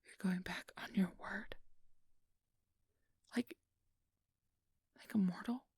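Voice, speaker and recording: A woman's voice: mid-range voice, steady tone, slow, a little mellow, slightly rough, no room sound, clean studio sound, exaggerated voice. A woman playing a possessive, manipulative fairy in an ASMR audio roleplay, imperious and desperate, often whispering her commands. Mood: rattled, pleading. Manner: whispery, commanding, swinging to pleading outbursts.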